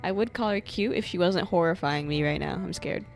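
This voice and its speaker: A woman's voice: lowish voice, a little fast, creaky, faint music behind. A young woman doing casual, joking live commentary as a game streamer, reacting with sarcasm.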